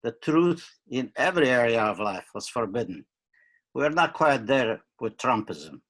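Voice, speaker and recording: An older man from Romania giving wry, deadpan opening remarks with many hesitations, on a webcam video call from a book-lined study at home. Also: medium pitch, moderate pace. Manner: wry, deadpan humor with frequent uh fillers. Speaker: curator and poet. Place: home study with bookshelves, indoors.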